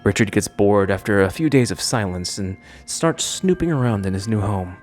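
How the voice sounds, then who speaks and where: A man delivers scripted video-essay narration in a fairly low voice. Faint music plays underneath as he tells the story. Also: lively intonation, normal speed, darkish tone.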